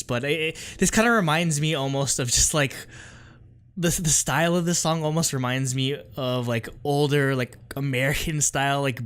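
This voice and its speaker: Give me a man co-hosting a music podcast in relaxed chat. He speaks at a middle pitch, emphatic and animated, and sometimes talks over the others. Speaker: podcast co-host. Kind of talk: casual music review chat.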